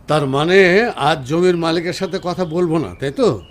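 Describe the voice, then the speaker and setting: A man's voice: fairly high pitch, lively intonation, moderate pace, natural voice. A male actor playing a considerate, practical husband in a radio drama. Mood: polite, practical. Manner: courteous, practical. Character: considerate, businesslike husband, polite and caring. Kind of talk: Bengali radio drama acting.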